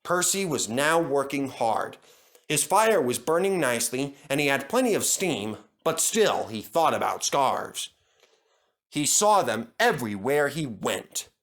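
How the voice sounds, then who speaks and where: A man's voice: mid-range voice, very animated, measured pace, fairly bright tone. A man narrating a children's story from a script as a storyteller.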